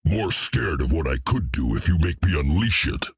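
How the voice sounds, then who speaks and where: A man voicing a hulking, cocky green brute in a video game, gruff and blunt, talking about himself in the third person with a gravelly, husky voice. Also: a bass voice, sing-song, normal speed, no echo, studio quality, full character voice. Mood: menacing. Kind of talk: video game character dialogue.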